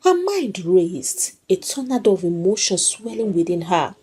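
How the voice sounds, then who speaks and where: A woman tells a scripted folktale in a dramatic storytelling style. Her voice sits a little low and has a husky grain. The pitch rises and falls in a lively way. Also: normal speed.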